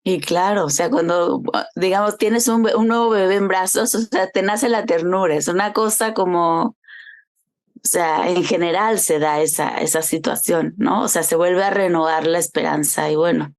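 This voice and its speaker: A woman hosting an interview, talking softly with hesitant fillers. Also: on the low side, moderate pace. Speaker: interviewer host. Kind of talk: interview conversation.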